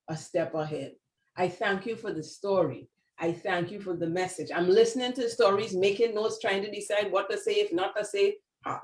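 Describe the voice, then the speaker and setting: Animated host, echoey room. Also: mid-range voice. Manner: animated, exclaiming, warmly effusive with thanks. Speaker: story slam host. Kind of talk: live emcee remarks at a virtual event. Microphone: video call.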